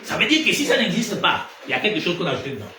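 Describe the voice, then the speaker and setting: A man from Gabon with a Gabonese French accent, preaching a sermon. He asks rhetorical questions and swings between loud and hushed delivery. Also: high-pitched, big pitch swings, rapid-fire. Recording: echoey room, distant mic, noisy.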